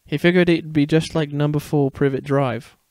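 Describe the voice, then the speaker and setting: A man's voice: a little high, fairly even intonation, normal speed, darkish tone, dry recording, close to an everyday voice. A man voicing a playful, protective young father in a dramatic story reading. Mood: reading aloud. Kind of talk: dramatic fan fiction reading.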